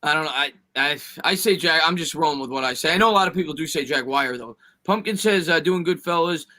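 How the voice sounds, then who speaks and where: A man's voice: fairly high pitch, fast, sharp tone. A man co-hosting a casual sports livestream, chatting with viewers and fellow panelists. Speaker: sports stream co-host.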